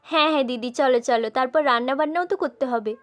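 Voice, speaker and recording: A woman's voice: high voice, fast, lightly acted. A woman voicing an eager, agreeable younger sister doll who is keen to help, in a children's doll story. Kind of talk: doll-play storytelling dialogue.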